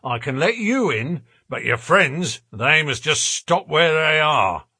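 A man voicing a gruff, blunt, burly porter and former prize fighter in an audiobook story. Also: a little high, very animated, slow, dry recording, studio quality, pitched above the performer's own voice, heavily acted. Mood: gruff, stubborn. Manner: rough working-class grammar, blunt and loud.

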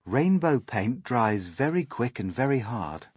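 A woman voicing a thoughtful young boy who speaks quietly and slowly. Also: rumbling low, very animated, husky, dry recording, studio quality, full character voice. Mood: calm.

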